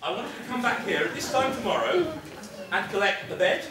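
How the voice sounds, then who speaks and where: A man acts out a scheming pantomime crook who hands out instructions in a high, projected voice. He is heard from far off in a theatre, with audience noise around him. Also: big pitch swings, a little fast, very echoey, crowd noise. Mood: bossy, scheming. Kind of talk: amateur stage pantomime acting. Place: theatre stage, indoors. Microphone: distant camera in the audience.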